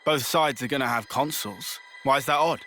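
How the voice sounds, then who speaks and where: A man's voice: mid-range voice, moderate pace, a little hiss or hum, close to an everyday voice. An actor playing a brash young male soldier in a video game, with a casual, pushy way of talking. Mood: brash, impatient. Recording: loud music behind.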